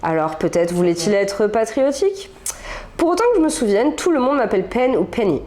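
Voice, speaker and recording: A woman's voice: medium pitch, very animated, fairly quick, mellow. Young woman, casual co-host; reads a story aloud, loose and chatty. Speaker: podcast co-host reading stories. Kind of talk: casual chat and reading aloud.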